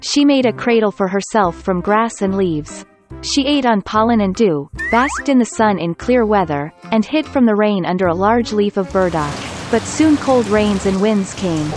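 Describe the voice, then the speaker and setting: A woman narrating a children's fairy tale in a calm, measured storytelling manner. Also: mid-range voice.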